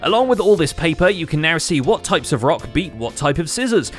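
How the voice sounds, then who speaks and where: A man's voice: a little high, big pitch swings, fairly quick, soft background music. A man hosting a gaming recap show, reading scripted narration in a wry manner.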